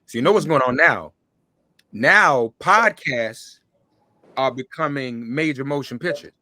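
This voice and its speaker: A man's voice: medium pitch, animated, unhurried, pushed and bright, no room sound. A man hosting a casual conversational interview, with informal slang and joking asides. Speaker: interview host.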